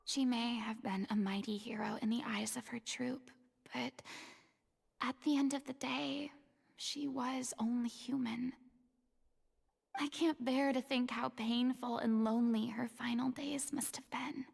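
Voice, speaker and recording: A soft-spoken young heroine. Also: female voice, fairly high pitch, fairly even intonation, unhurried, vocal fry, breathy edge, exaggerated voice. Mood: solemn. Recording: reverberant game audio.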